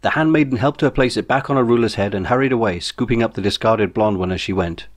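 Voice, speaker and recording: Low-pitched man narrating an audiobook. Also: quick pace. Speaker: author reading own novel.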